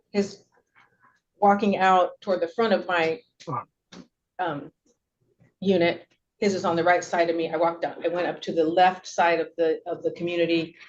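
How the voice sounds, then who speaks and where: A woman's voice: on the low side, a little mellow. A middle-aged woman giving courtroom testimony, hesitant and wordy, often correcting herself as she goes. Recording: dull, noisy courtroom audio.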